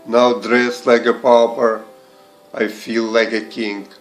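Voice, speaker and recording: A man reading verse aloud in a measured recitation, in short deliberate phrases set apart by pauses. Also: medium pitch, flat delivery, soft background music, low-quality recording. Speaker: poetry reader and translator. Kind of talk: slow, measured poetry recitation.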